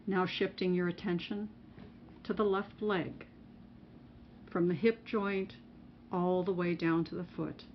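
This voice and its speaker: A woman narrating a guided meditation as a mindfulness teacher, in measured, instructional phrases with pauses between them. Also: on the low side, fairly even intonation. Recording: muffled recording with faint background noise.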